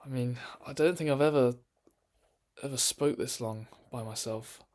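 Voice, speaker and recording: A man's voice: mid-range voice, moderate pace, darkish tone. A man chatting casually on a live stream from his bedroom, rambling with lots of ums and restarts.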